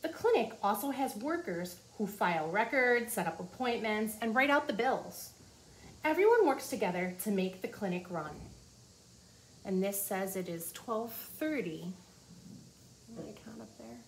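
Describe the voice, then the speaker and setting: Female teacher, 40s, mid-range voice, children's read-aloud with simple asides, small office with clear background noise. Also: mid-range voice, lively intonation, a little slow. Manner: addresses young listeners with questions and simple explanations. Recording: clearly noisy room ambience.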